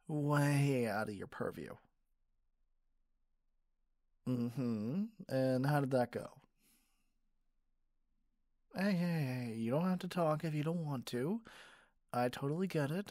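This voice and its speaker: A man acting in an ASMR roleplay as a gentle, doting caregiver, speaking softly in a soothing, coaxing manner. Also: medium pitch, takes it slowly, pauses often, no echo, studio quality, lightly acted. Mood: tender, concerned. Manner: soft, soothing, playful coaxing. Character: gentle, doting male caregiver comforting a partner.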